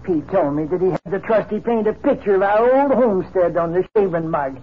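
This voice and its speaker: An actor playing a folksy, dogged old ex-outlaw in a 1950s radio western, with a creaky old-timer's voice. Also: male voice, high voice, expressive, a little fast. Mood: storytelling. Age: older. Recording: dull, noisy 1950s radio recording.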